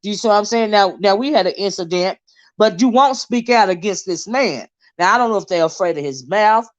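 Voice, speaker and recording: A woman's voice: mid-range voice, animated, fairly quick. A woman hosting her own commentary stream, talking bluntly and emphatically, circling back on her points with plenty of fillers. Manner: blunt, emphatic, repeats herself with many fillers. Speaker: commentary channel host. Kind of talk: live-stream commentary monologue. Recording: dull lo-fi stream audio.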